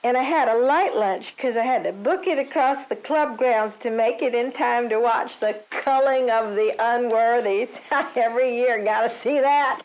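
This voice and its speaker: Actress playing a high-voiced, chirpy suburban club woman. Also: normal speed, few pauses, close to an everyday voice, low-quality recording. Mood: breezy, chatty. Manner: rambling, blithely tactless, eager to please. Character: chirpy, self-serving suburban club woman running for election. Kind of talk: comedic audio drama acting.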